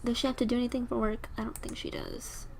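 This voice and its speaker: Raspy young woman narrating her gameplay. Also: on the high side, level tone, fast, darkish tone. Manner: chatty, rambling, talks to her game characters. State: tired, sore throat. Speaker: gameplay video host. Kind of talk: casual gameplay commentary.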